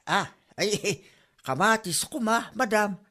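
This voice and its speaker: A woman's voice: medium pitch, slow pace, bright, forceful tone. A woman acting a humble tomato farmer who speaks politely and deferentially. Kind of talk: amateur comedy drama acting.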